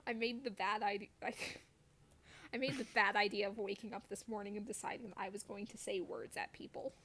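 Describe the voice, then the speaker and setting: A woman's voice: medium pitch, fairly even intonation, a little fast, fairly bright tone, slight background noise. A woman streamer chatting casually over gameplay in a playful, wryly self-deprecating way.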